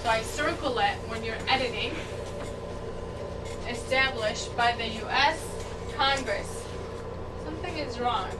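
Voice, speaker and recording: A woman's voice: a little high, a little slow, pauses often. A woman teaching a class, patient and encouraging, asking the students questions. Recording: distant mic, noisy classroom.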